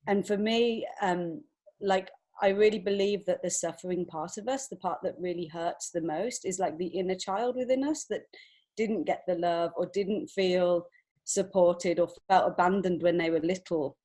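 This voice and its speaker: A woman's voice: on the low side, moderate pace, warm tone. A woman telling personal stories as a podcast guest, speaking in a heartfelt, informal and emotionally open way. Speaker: podcast guest, children's book author and illustrator. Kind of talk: personal storytelling in an interview.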